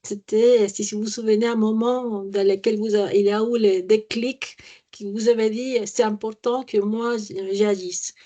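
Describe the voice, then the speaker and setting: A woman moderating a conference panel who asks her questions haltingly, searching for words. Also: medium pitch, steady tone, dark tone.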